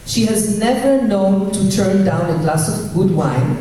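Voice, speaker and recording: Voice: mid-range voice, expressive, measured pace, warm tone. A host reading a formal introduction of the guest speaker to a gathered audience. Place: meeting room with audience. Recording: echoey hall, distant mic, crowd noise.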